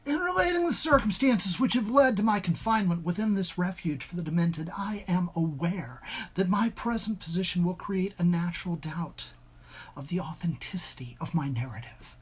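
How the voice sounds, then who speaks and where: A bookish, haunted gentleman tells his own gothic tale, read aloud by a man. He speaks formally and deliberately in ornate phrases. He is a confined dreamer, defensive about his sanity, in a dramatic story reading. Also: high voice, animated, breathy edge. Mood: earnest, defensive.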